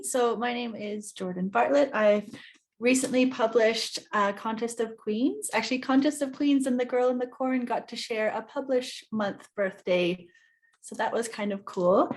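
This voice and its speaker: Animated woman author in conversation. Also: mid-range voice. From New Zealand. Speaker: guest author. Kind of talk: conversational interview.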